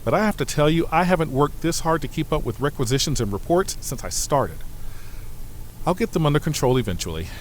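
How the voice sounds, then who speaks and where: A man's voice: on the high side, animated, fairly quick, a little hiss or hum, natural voice. A man playing an earnest starship aide in a science fiction audio drama, confiding and grumbling mildly as if in a personal letter. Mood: wry complaining. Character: earnest male starship aide to a demanding ambassador.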